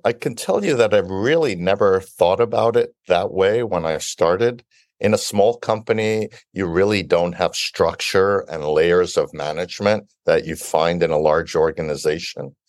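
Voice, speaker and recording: A middle-aged or older man who grew up in Brooklyn, giving measured, articulate answers as an interview guest. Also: low voice, no room sound, clean studio sound. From Italy-born, raised in Brooklyn, New York. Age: 50s or older. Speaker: interview guest, biotech founder and CEO.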